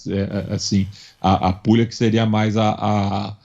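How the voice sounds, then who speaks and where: A podcast co-host from São Paulo, this man speaks with a Paulistano accent in a fairly low register. He talks haltingly, with frequent fillers and restarts. The audio is dull and lo-fi. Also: flat delivery, darkish tone. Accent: Paulistano Brazilian Portuguese. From São Paulo, Brazil. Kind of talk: casual panel discussion.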